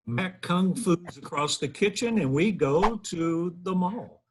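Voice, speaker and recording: A man's voice: sits high, animated, slow pace, a little hiss or hum. A man in his thirties reading scripted stage directions at a table read over a video call. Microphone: computer on video call.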